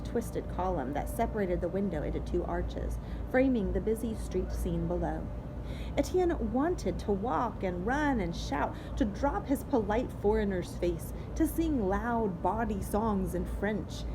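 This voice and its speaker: A woman reading a storybook aloud expressively, in a noisy home recording. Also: medium pitch. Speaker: story reader.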